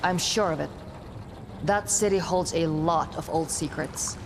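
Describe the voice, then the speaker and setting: A woman's voice: low voice, measured pace, noisy background. A woman playing a guarded young warrior in a video game, speaking in a dry, understated way. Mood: grave, reflective. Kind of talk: video game cutscene acting.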